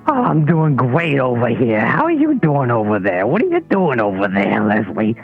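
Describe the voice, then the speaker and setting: A man's voice: medium pitch, huge pitch swings, normal speed, slightly rough, faint music behind, heavily acted. A man voicing a silly prank caller who repeats the same greeting over and over on a phone line. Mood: goofy.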